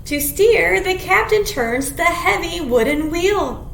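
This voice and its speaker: Very high-pitched woman reading to children. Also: takes it slowly. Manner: expressive, clearly addressing young children, with simple questions. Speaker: children's librarian leading storytime. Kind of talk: animated children's picture-book read-aloud. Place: small indoor room. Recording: echoey small room, background hiss.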